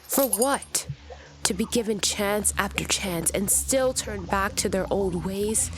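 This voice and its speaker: Woman playing a slow, embittered Titan zealot. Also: mid-range voice, music in the background, close to an everyday voice. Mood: bitter, disdainful. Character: embittered female Titan zealot, cold and resolute. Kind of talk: fantasy audio drama acting. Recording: clear noisy ambience behind the voice.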